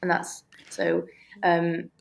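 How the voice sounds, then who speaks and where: Low-pitched, creaky-voiced woman explaining. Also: completely flat delivery. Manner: halting, thinking aloud, loosely structured explanations. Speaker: interview guest, author. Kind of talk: reflective explanatory interview conversation.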